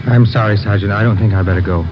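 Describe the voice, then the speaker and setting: An actor playing a frightened, cornered male killer who pleads haltingly, in 1950s radio crime drama style. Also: on the low side, steady tone, music in the background, lightly acted. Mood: nervous, evasive. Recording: muffled, noisy vintage radio recording.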